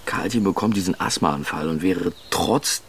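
An actor playing a blunt, sardonic married father in a naturalistic radio drama, talking colloquially and haltingly. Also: deep voice, creaky, slight background noise. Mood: agitated, bitter.

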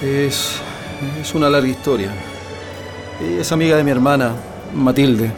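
A man's voice: mid-range voice, moderate pace, gravelly, music in the background, natural voice. An actor with a Chilean accent playing a weary male political prisoner in a radio drama. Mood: reticent, reflective.

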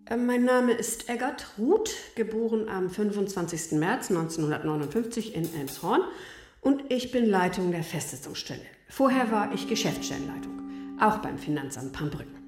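Actress as a formal older office manager. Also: female voice, mid-range voice, lively intonation, normal speed, roomy sound, music in the background, lightly acted. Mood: matter-of-fact. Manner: formal, matter-of-fact. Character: dry, correct older female tax office manager. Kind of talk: naturalistic radio drama testimony.

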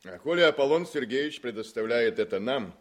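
An actor playing a self-assured, sensible artillery officer in a Soviet radio drama, emphatic and wry. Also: male voice, medium pitch, lively intonation, a little fast, lightly acted. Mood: assured, brisk.

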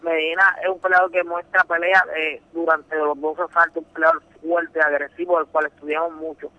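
A man's voice: a little high, flat delivery, moderate pace, dry recording, phone-quality sound. A young Puerto Rican man speaking Spanish with a Puerto Rican accent, a boxing champion answering a radio interview in an earnest, emphatic way, often repeating words. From Puerto Rico.

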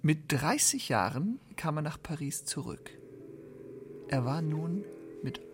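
A man's voice: fairly high pitch, big pitch swings, takes it slowly, a little hiss or hum. An actor playing a wry, hesitant male narrator in a literary radio play. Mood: wry, deliberate. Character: wry, hesitant male narrator of a 1920s Paris crook tale.

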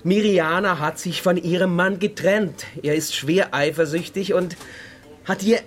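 A slightly high-voiced evasive businessman in a radio drama. He is fussy and edgy, halting and defensive in his talk. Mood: uneasy.

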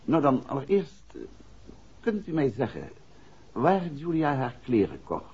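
An actor in a 1960s Dutch radio drama, playing a composed, courteous and astute gentleman detective who talks in polite, measured questions. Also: medium pitch, big pitch swings, breathy edge, full character voice. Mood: politely probing. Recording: dull, noisy 1960s radio recording.

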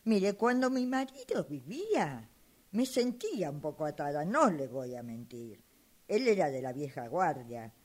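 A woman's voice: low-pitched, sing-song, measured pace, a little mellow, heavily acted. A woman playing an exasperated, insistent elderly widow in an amateur radio drama, complaining in a flustered, dramatic way. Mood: weary, indignant. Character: exasperated elderly widow fed up with her phone.

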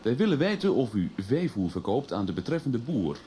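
Officious police investigator, played by a man, animated. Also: medium pitch, a little fast, slight background noise, close to an everyday voice. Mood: formal, businesslike. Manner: formal, officious. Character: brisk, insistent male police investigator. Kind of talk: 1990s Dutch radio drama acting.

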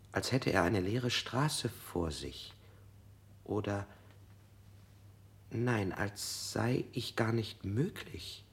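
A man's voice: lowish voice, vocal fry, full character voice. An actor playing a frightened man under interrogation in a radio drama, who keeps correcting himself and sometimes drops to a whisper.

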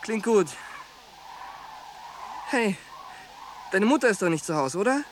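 Brash, blunt teenage pal, highish lively voice that jumps around, worried but down-to-earth, radio drama. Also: male voice, a little slow, a little hiss or hum. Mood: worried, teasing. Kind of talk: German radio drama acting.

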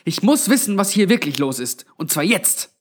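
A man's voice: sits high, sing-song, moderate pace, pitched above the performer's own voice. A man voicing a frightened, defiant young woman with an agitated, insistent manner in a narrated story. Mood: angry defiance.

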